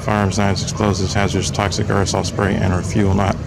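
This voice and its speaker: A man's voice: fairly low pitch, flat delivery, brisk, creaky. An American man giving a casual, wry, rambling running commentary with joking asides as he films outdoors on his camera. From Texas, United States. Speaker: video blogger filming a public-space audit. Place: outdoors on a public sidewalk by a road. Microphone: handheld camera microphone, operator behind camera. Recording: outdoor handheld camera with street noise. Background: passing vehicles and outdoor ambience.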